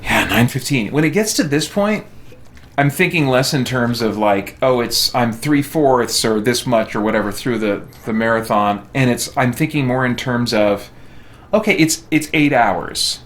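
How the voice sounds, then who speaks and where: A man's voice: mid-range voice, expressive, normal speed, fairly bright tone. A man, sounding middle-aged, chatting casually as he streams a video game, with a jokey, self-deprecating manner. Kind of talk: casual chat while playing a video game.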